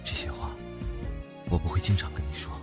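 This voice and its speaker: A man acting a protective, tenderly possessive boyfriend in an audio drama, murmuring softly and intimately. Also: brisk, music in the background, natural voice. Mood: tender reproach. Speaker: voice actor. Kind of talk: Chinese boys' love audio drama acting.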